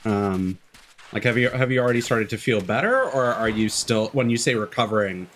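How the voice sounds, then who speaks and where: A man livestreaming a video game, chatting casually in a rambling, halting way with long pauses. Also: lowish voice, animated, fairly bright tone, a little hiss or hum. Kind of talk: casual chat while playing a game. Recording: faint game music behind. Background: game music.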